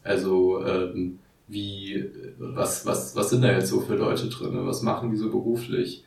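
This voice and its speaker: A man in his twenties hosting a podcast, asking relaxed, friendly questions with fillers. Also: sits low, measured pace, vocal fry. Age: 20s. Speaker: podcast host. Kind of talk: casual interview. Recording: roomy echo, mic at a distance.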